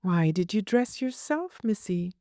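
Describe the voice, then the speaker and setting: A woman voicing a brisk, scolding but fond nursemaid in a storybook, with old-fashioned turns of phrase. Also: medium pitch, lively intonation, dark tone, dry recording, studio quality, voice pushed up, natural voice. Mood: questioning.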